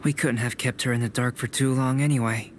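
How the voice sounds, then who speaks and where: Man voicing a composed alchemist mentor, mid-pitched, calm, measured, softly reproachful. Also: slight background noise, lightly acted. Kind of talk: video game voice acting.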